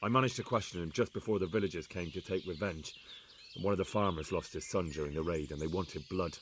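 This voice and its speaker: An actor playing an earnest, measured young medieval man-at-arms in a video game. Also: low-pitched, a little hiss or hum, natural voice. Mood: sober, dutiful. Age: young adult.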